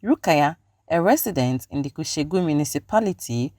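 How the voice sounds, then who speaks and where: A woman's voice: deep voice, lively intonation, a little fast, darkish tone, no echo. Ghanaian woman reporting news, close and dry. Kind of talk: scripted news narration. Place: close dry voice-over recording.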